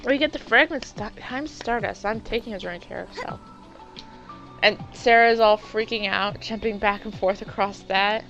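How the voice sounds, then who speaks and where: A woman with a gravelly, creaky voice and a sore throat, chatting through gameplay in a loose, rambling way. Her pitch sits in the middle, and soft game music plays underneath. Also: normal speed, highs cut off. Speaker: let's play host. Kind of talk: casual gameplay commentary.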